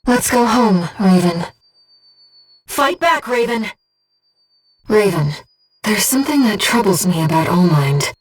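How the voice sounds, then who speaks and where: A woman voicing a calm, devoted female mind-voice who guides a mech pilot in a video game, speaking in a measured, precise way. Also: medium pitch, breathy edge, recorded from a distance, low-quality recording. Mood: urgent, alert. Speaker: mission support operator. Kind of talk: video game voice acting, mission operator dialogue.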